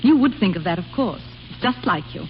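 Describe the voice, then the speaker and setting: An actress playing a haughty, commanding grand duchess in a vintage radio comedy. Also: female voice, fairly low pitch, expressive, fairly quick, natural voice. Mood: imperious, indignant. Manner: imperious, commanding. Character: haughty, imperious exiled grand duchess, female. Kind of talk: 1930s-40s American radio comedy acting. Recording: muffled, noisy old radio recording.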